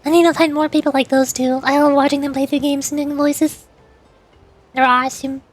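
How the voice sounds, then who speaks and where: High-pitched woman streamer, chatty and giggly. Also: level tone, fairly quick. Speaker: VTuber streamer. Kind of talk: casual livestream chat over gameplay.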